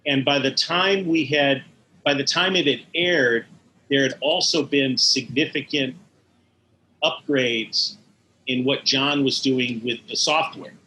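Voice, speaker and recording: Male animator as interview guest: bright, crisp-edged tone, explanatory and detailed, slight noise behind. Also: medium pitch, slow, low-quality recording. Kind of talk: in-depth interview recollection.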